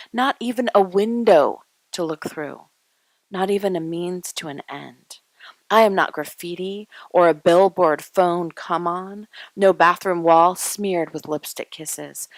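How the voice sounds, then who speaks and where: A woman delivers a dramatic poetry reading in a somewhat low voice. Her phrasing is deliberate and declarative, with weight on key words and pauses between short lines. The sound is dry, with very little room around it. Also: no echo, rough recording. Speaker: poet.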